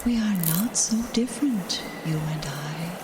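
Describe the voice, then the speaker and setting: An actress playing a weary, stern female wind spirit in an audio drama, speaking in terse, breathy commands that often fall to a whisper. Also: on the low side, animated, measured pace. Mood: stern, urging. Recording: distant voice with clear background noise.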